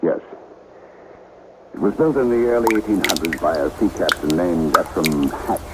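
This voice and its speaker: A man's voice: deep voice, animated, normal speed. An actor playing a nervous, harried businessman in a radio drama, agitated and emphatic. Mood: grave, explaining. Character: nervous, harried businessman fearful of a haunted house. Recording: noisy old radio broadcast recording.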